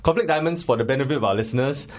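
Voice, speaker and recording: A man's voice: medium pitch, very fast. A man with a Singaporean English accent, hosting a casual film-review chat in a jokey, rambling, colloquial way. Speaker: podcast host.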